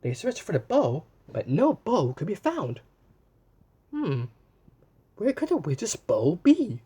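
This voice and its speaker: A man reading a children's picture book aloud in an animated way, pausing to point things out and exclaim. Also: sits high, husky. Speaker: storyteller.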